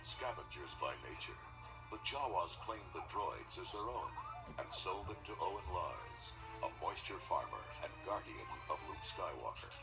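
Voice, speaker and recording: A man's voice: low voice, very animated, moderate pace, gravelly, low-quality recording. A man narrating a scripted storybook as a read-along. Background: loud music.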